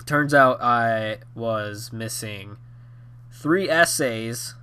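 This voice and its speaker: A young man of twenty tells a story about his own life, drifting between asides and fillers. His voice is bright and a touch nasal and hoarse from a lingering cold. He sounds relaxed and unscripted. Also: medium pitch, very slow. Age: 20s. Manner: rambling with many fillers and restarts. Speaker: YouTube storyteller. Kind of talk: rambling casual storytime.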